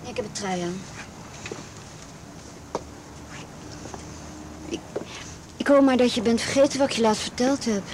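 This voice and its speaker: An anxious teenage girl in a radio play, played by an actress. Her voice is fairly high and halting, repeating words in a guarded, resigned way, with clear noise behind it. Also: darkish tone, lightly acted. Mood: guarded, hesitant. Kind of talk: Dutch radio play acting.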